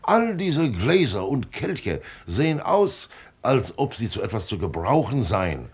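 An actor in a German radio play playing a wealthy, pompous old father who lectures bluntly in a husky voice. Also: mid-range voice, sing-song, measured pace, a little mellow. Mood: pompous, musing. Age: older. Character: gruff, wealthy old millionaire father, pompous glass collector.

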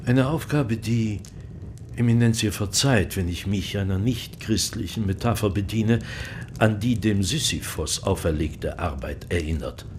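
An actor playing a learned, cautious monsignor in a German radio drama, speaking with courteous, articulate deference. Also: male voice, lowish voice, a little slow, darkish tone, slight background noise, natural voice. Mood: cautious, deferential.

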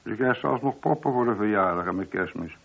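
A man's voice: on the low side, animated, a little fast, creaky, husky, exaggerated voice. A man playing a chatty police sergeant in a radio drama, matter-of-fact and slightly cheeky as he reports. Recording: dull, muffled old radio drama recording.

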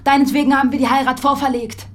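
A woman's voice: high-pitched, steady tone, fast talker, natural voice. An actress in a radio drama playing a distressed, firm woman fending off an unwanted suitor.

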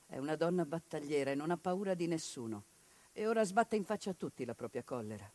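A woman performing a one-woman theatre monologue, speaking with passion and swinging between hushed lines and forceful ones. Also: low voice, animated, fast talker.

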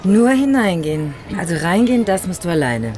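A woman's voice: fairly low pitch, very animated, brisk, over a noisy crowd. An actress playing a practical, teasing woman who gives bossy instructions to a friend in a radio crime drama. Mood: urging, practical.

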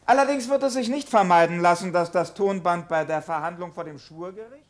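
An actor playing a brisk, commanding police inspector in a German radio crime play, curt and sardonic. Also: male voice, high-pitched, close to an everyday voice.

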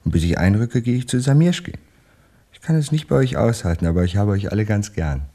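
A man's voice: lowish voice, lively intonation, a little fast, warm tone. An actor playing a stubborn young man in a radio drama, insisting on becoming a soldier. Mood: defiant, insistent. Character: defiant young son eager to become a soldier.

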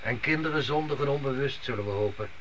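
A man's voice: medium pitch, fast, close to an everyday voice. An actor playing a stern, devout middle-aged father in a Dutch radio play, commanding and solemn. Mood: resolute. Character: stern, devout father leading his family through the desert. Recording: noisy old radio drama recording.

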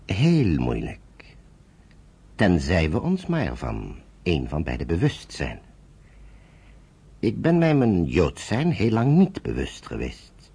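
An older retired general with a very husky, low voice. His delivery swings widely in pitch, formal and thoughtful, in the measured manner of a radio drama. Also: wildly swooping pitch, dark tone, heavily acted. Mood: searching, confessional. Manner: formal, deliberate, reflective, with dramatic pauses. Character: thoughtful retired English general, courteous and introspective.

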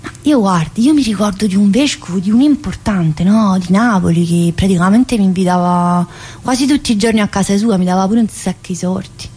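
A woman's voice: medium pitch, a little fast, mellow, husky, natural voice. A woman playing a chatty inmate. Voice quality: chatty and meandering, studded with "eh" and direct address. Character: chatty middle-aged female prison inmate, warm-hearted. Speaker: stage actor in a solo play. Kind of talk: theatrical monologue.

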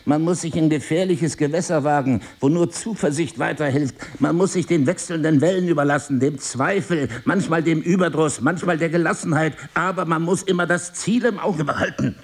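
A wounded, devoted husband in middle age, speaks with a slightly husky voice. He reasons deliberately and articulately, like someone lecturing his wife, with an ironic edge. It is intimate dramatic dialogue from a stage play. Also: a little high. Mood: impassioned, lecturing. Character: reproachful yet devoted husband, wounded, philosophizing crime writer.

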